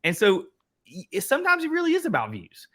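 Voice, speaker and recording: A man with an Eastern Kentucky accent hosts a casual workshop talk and speaks to the crowd as "guys." His voice sits a little high and sounds bright and forceful. He talks in a folksy, rambling way. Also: huge pitch swings, quick pace. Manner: chatty, folksy, restarts and speaks to the audience as "guys". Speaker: host.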